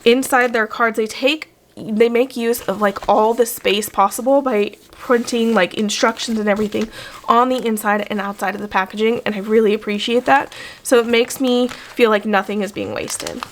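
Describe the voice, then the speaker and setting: Chatty woman, slightly croaky from illness. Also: a little high, steady tone, normal speed, a little hiss or hum. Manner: chatty and enthusiastic. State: sick, a little croaky. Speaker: vlogger. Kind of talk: casual product unboxing. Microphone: desk microphone.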